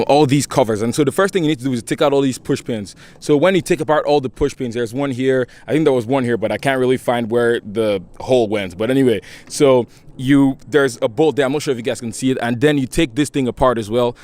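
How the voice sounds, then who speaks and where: A young man chats through a how-to video outdoors beside his car in a loose, goofy way. He often laughs and circles back to restart his sentences, and his voice sits at an ordinary middle pitch. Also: darkish tone. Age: young adult. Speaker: car vlogger. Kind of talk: casual how-to vlog.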